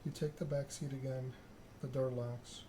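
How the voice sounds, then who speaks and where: A man streaming a game in soft ASMR style, reading story text aloud and chatting casually with viewers. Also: medium pitch, level tone, moderate pace, slight background noise. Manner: reads narrative text aloud, chats with viewers.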